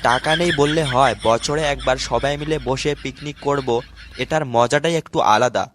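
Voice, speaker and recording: Man acting a brash excitable cartoon guy, noisy track. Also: medium pitch, normal speed, close to an everyday voice. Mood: worried, complaining. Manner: complaining, bantering. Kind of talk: comic cartoon dub.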